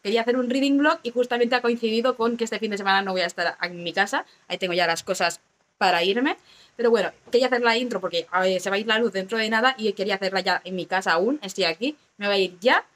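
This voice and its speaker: A hoarse young woman chatting casually to camera as a book vlogger, recorded at home on a phone or camera held close. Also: mid-range voice, a bit bright. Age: young adult. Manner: chatty, quick asides and fillers. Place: small rooms at home and an outdoor terrace.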